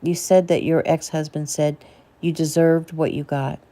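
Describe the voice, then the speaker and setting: A woman's voice: low voice, fairly even intonation, normal speed, mellow, lightly acted. A woman voicing a caring, protective man in a story, speaking in a soothing, patient manner. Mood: gentle, patient.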